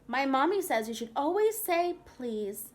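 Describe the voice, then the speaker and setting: A sensible little dinosaur girl who points out manners in a matter-of-fact, reproachful tone, as a woman reads her aloud. Her voice is high and lively. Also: measured pace.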